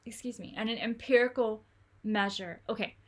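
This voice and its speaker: A woman's voice: fairly high pitch, moderate pace. A woman coaching an online study group, talking in a chatty, encouraging way full of fillers and restarts over a video call. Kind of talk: online tutoring session.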